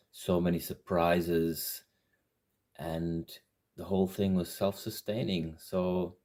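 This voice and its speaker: A man who grew up in Malaysia, narrating a video tour of his own art in a gentle, reflective way. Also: low voice. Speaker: artist presenting his work.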